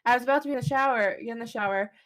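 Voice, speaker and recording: A woman with a slightly high voice co-hosts a loose podcast. She tells little stories in a chatty, giggly way. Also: rapid-fire, a bit bright. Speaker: podcast co-host. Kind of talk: casual chat.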